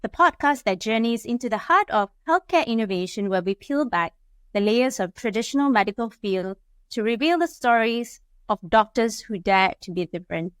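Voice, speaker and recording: A woman hosts a podcast interview, moving from a read-out introduction to loose, filler-laden questions. Her voice sits a little high and comes through smooth and resonant. The recording is dry and close, with no room sound. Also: animated, normal speed, dry recording. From Malaysia. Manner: scripted, upbeat intro then chatty questions with fillers. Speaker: podcast host.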